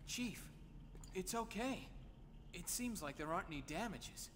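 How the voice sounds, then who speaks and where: Eager helpful young assistant from a game, bouncy highish voice, reverby. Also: female voice, animated, a little slow. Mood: reassuring. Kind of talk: English video game voice acting. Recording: long reverb, faint ambient noise.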